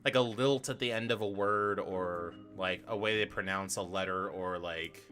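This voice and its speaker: A man's voice: deep voice, moderate pace, sharp tone, soft background music. A young man co-hosting a trivia stream, chatting casually in a rambling, profane way and correcting himself as he goes. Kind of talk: casual chat.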